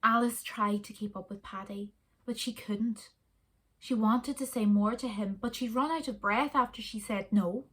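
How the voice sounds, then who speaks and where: A woman reading a children's story aloud in an expressive, dramatic way with deliberate pauses. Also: a little high.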